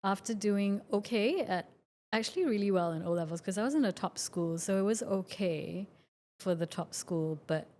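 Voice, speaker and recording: A woman in her forties from Singapore, a doctor speaking as a podcast guest in a relaxed, chatty way with many fillers. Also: medium pitch, normal speed, a little hiss or hum. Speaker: guest, functional medicine doctor. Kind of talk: casual interview talk.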